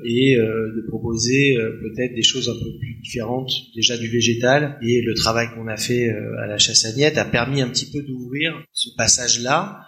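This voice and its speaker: A man's voice: mid-range voice, steady tone, normal speed, sharp tone, low-quality recording. A man answering conversationally as an interview guest, hesitant as he searches for his words. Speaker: interview guest, restaurant professional.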